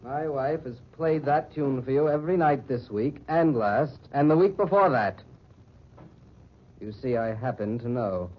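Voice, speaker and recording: A muffled old radio recording with music carries a man performing a mysterious, vengeful gentleman of vintage radio drama. His diction is measured and formal, suave and theatrical. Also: medium pitch, animated, close to an everyday voice. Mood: icy sardonic. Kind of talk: 1940s radio drama acting.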